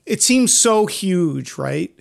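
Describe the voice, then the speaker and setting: A man hosting a conversational interview podcast, talking in an emphatic, halting way with pauses. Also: sits high, big pitch swings, vocal fry. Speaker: podcast host.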